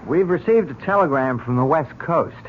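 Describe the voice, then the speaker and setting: A man's voice: fairly high pitch, lively intonation, lightly acted. Man playing a courteous police lieutenant, muffled radio sound. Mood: polite, grave. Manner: measured, courteous. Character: polite, measured male police lieutenant. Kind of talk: 1970s radio drama acting. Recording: dull, noisy old radio recording.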